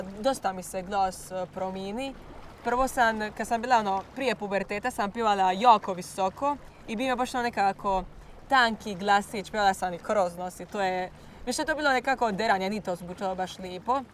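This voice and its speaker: A teenage girl from Croatia, speaking English with a Croatian accent, answering interview questions about singing in a klapa. She sounds a little hoarse and often pauses to search for words. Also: mid-range voice, slight background noise. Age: teens. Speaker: klapa singer.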